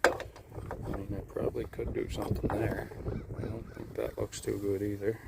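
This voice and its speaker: A man with a somewhat low voice talks casually to a handheld camera as he works through a DIY project. His intonation stays very flat, and he thinks aloud in a hesitant, unscripted way. Clear background noise and vehicle ambience sit behind him. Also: normal speed.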